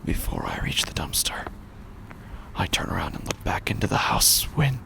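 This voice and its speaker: Man acting a gravelly, whispering haunted husband. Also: low voice, huge pitch swings, pushed and bright, full character voice. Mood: eerie, hollow. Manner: halting, fragmented, drawn-out phrases. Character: haunted grieving husband, quietly unhinged narrator. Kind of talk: horror audio drama monologue.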